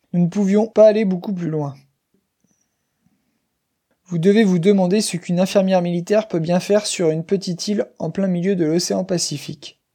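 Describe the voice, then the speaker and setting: A man in his twenties from western France, reading a scripted personal story slowly and clearly for language learners. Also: high voice, mellow. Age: 20s. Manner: slow, clear, careful reading. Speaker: guest speaker.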